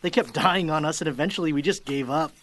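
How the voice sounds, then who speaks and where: A man's voice: a little high, fast talker, a bit bright, natural voice. A man playing a glib, greedy roguish adventurer in a fantasy audio drama, with a wordy, theatrical way of talking. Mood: teasing.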